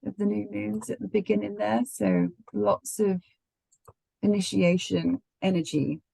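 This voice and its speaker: A woman astrologer with the flu, croaky, chatting casually with many ums. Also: medium pitch, fairly even intonation, measured pace, mellow, no room sound. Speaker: astrologer co-host.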